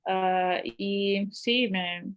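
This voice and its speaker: A woman's voice: mid-range voice, fairly even intonation, extremely slow. A woman talking casually in a second language, hesitating often with fillers and restarts. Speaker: guest polyglot. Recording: slightly dull lo-fi audio.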